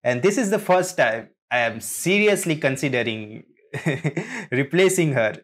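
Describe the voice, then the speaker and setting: A man casually reacting to game trailers, speaking in a hesitant, halting way. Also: on the high side, very animated, a bit bright. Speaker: reaction video host.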